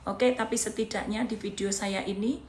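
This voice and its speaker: A woman's voice: medium pitch, steady tone, moderate pace, reverberant, slight background noise. A woman in her thirties from Indonesia with a Javanese accent, giving an emphatic motivational talk and repeating her points in lists. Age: 30s. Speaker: motivational vlogger.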